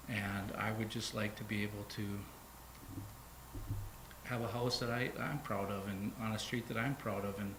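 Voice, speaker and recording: A man in his fifties making a halting, earnest public comment at a city council meeting. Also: fairly low pitch. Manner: halting, earnest, many restarts. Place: council chamber. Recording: echoey chamber with noise.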